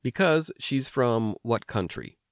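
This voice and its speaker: An American man hosting an instructional language podcast, explaining things clearly like a teacher. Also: medium pitch, animated, slow pace, no room sound, studio quality. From United States. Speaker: language lesson host and teacher. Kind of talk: instructional podcast narration with personal anecdotes.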